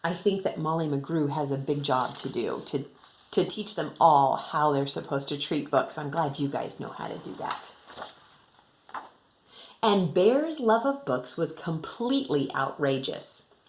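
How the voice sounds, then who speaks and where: A woman's voice: low-pitched, animated, a little slow, a little hiss or hum. An American woman working as an elementary teacher, reading a picture book to children expressively and rhythmically, with casual explanatory asides. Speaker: second grade teacher reading aloud to pupils.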